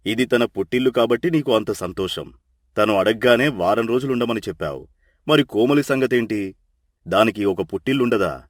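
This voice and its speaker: A man playing a calm older father-in-law in a family story, measured and persuasive as he reasons. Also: on the low side, dry recording, studio quality, close to an everyday voice.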